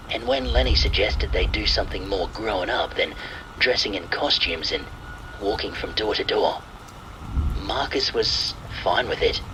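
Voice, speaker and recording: A man's voice: fairly low pitch, noisy background. A man reading scripted narration of a spooky story, with rain ambience.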